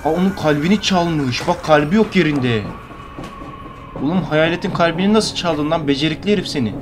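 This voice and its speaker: A young man talks very quickly in a slightly high voice, tossing out slangy, joking commentary like a casual video host. It is a home recording with some noise behind him. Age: young adult. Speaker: YouTube host reacting to a horror cartoon. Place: bedroom at home.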